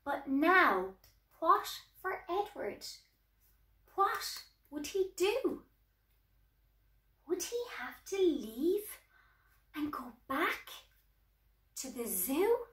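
An Irish woman reads a children's story in a high, bright voice. Her delivery is sing-song and deliberate, with lively swings of pitch. The small room sounds echoey. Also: far from the mic. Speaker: sign language tutor reading a storybook. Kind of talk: expressive children's storybook reading with signing. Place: small indoor room at home.

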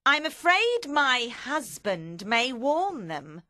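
A woman voicing a cold, vengeful revolutionary woman in a storybook reading, forceful, deliberate and ominous. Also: sits high, big pitch swings, dry recording, studio quality, pitched above the performer's own voice, exaggerated voice.

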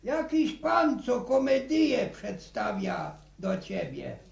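A man playing a chatty, nosy old village grandfather with a creaky voice and stammering, folksy manner in a 1960 radio drama. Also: very high voice, big pitch swings, slow pace, exaggerated voice. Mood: excited. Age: older. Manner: stammering repetitions, folksy, inquisitive. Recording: roomy old radio studio recording.

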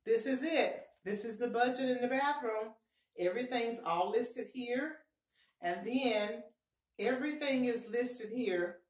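A middle-aged woman giving upbeat, encouraging budgeting advice to camera in a casual home vlog, often repeating her key points. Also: medium pitch. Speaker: personal finance vlogger. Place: small home bathroom, indoors. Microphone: fixed camera at close range. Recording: echoey small bathroom.

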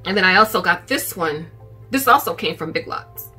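Casting: middle-aged woman, bright forward voice, casual home haul-video chat, music underneath. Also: lowish voice, lively intonation, normal speed, rough recording. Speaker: vlogger. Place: furnished living room at home, indoors.

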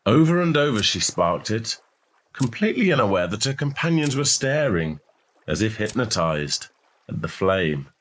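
Low-pitched man narrating a short story. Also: sing-song, moderate pace, fairly bright tone, a little hiss or hum.

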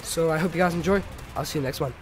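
A teenage male, slightly sick, giving casual, rambling and halting gameplay commentary as host of a gaming video. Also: on the high side, slight background noise. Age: teenager, about 15. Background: music.

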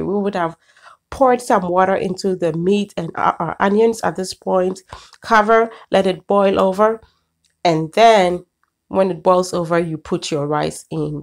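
A middle-aged Ghanaian woman hosts a cooking video outside on her patio, talking the viewer through each step. Her voice sits low and carries a gravelly edge. Food sizzles in the pan beside her. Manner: warm, chatty, explains each step. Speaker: cooking channel host. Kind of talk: cooking demonstration. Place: outdoor backyard patio at a grill. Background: sizzling pan, birds.

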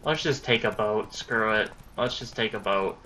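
A man's voice: on the low side, normal speed, pushed and bright, soft background music. A young man in his late teens rambling casually about his recording plans while playing a game, swearing when things go wrong. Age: young adult. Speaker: gaming YouTuber. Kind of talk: casual commentary over gameplay.

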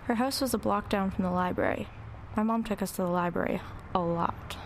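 A woman's voice: mid-range voice, brisk, slight background noise, natural voice. A woman playing a wry, reflective narrator recalling her troubled girlhood in a confiding first-person dramatic narration.

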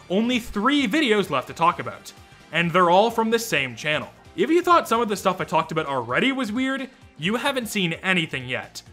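A young man delivers sarcastic commentary in a bright, highly animated voice. He sounds exasperated and snarky, swears casually, and has faint music underneath. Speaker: YouTube commentator. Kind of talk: sarcastic video commentary with ranking verdicts.

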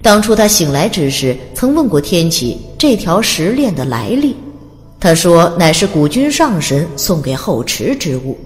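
A woman's voice: on the low side, sing-song, normal speed, big-room sound. A woman narrating a fantasy audiobook in a dramatic, scripted storytelling style. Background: music.

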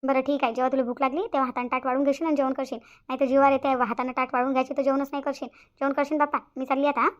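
A woman's voice: high voice, little pitch movement, very fast, hardly any pauses, muffled recording, natural voice. A woman voicing a fussy, nagging middle-aged village mother in a cartoon, repeating herself in a rural Maharashtrian accent. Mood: fussing. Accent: rural Maharashtrian Marathi. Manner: nagging, repetitive. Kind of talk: cartoon comedy dialogue.

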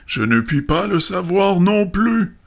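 A pompous old geographer from a storybook, speaks very slowly with a gravelly creak. He weighs out each phrase with pedantic self-importance. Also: high voice, very animated, full character voice. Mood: pedantic, self-important. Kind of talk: audiobook story dramatization.

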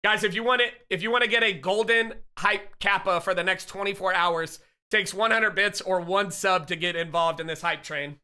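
Excitable, bright-voiced streamer losing his voice. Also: high voice, normal speed, smooth. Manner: loud, excitable, profane shout-outs to chat. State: losing his voice, getting sick. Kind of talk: casual live-stream chat. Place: home streaming room. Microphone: headset.

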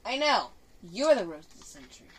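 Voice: medium pitch, very animated, brisk. A female gaming host giving casual, improvised commentary in a bossy, teasing manner, slipping into play-acted bickering. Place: indoor room, filming a TV screen. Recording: noisy room recording with clicking.

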